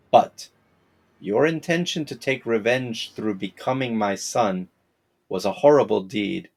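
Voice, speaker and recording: A man voicing a stern archer officer in a folktale, a wronged father speaking solemnly and formally, with emphasis. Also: on the low side.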